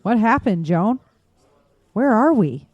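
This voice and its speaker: A woman's voice: on the high side, very animated, slow, a little mellow, no room sound, dull audio, heavily acted. An actress playing a weary woman survivor who speaks earnestly.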